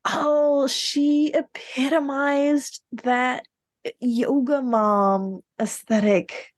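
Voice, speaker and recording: A woman's voice: high voice, steady tone, extremely slow, no room sound. A woman co-hosting a podcast, talking casually and bluntly about books with strong opinions. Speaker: podcast co-host. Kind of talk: casual book discussion.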